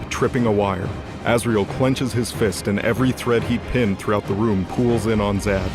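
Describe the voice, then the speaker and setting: A low-voiced man narrates a scripted fantasy drama. His dramatic storytelling sits over loud background music. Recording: music under the voice.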